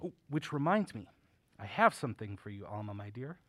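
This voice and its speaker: An actor playing a courtly, whimsical old uncle who runs a curiosity shop in an audio drama, genial and theatrical, with old-fashioned manners. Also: male voice, on the low side, very animated, a little fast, no room sound. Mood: fond, confiding.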